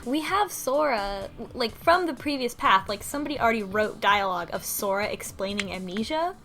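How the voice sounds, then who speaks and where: A woman's voice: on the high side, expressive, normal speed, a bit bright, faint music behind. A woman hosting a book club podcast, casually recapping and discussing a story in a conversational way.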